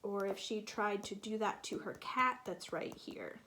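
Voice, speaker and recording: A woman with a medium-pitched voice reads a picture book aloud to young children at a slow pace. She talks like a teacher, stopping to ask simple questions and patiently explaining, with pauses left for answers. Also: bright, forceful tone, a little hiss or hum, poor audio.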